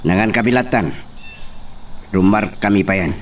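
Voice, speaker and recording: A man's voice: lowish voice, moderate pace. A man acting a commanding young landowner's son in an amateur drama, speaking to workers and a young woman. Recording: distant mic with faint outdoor noise.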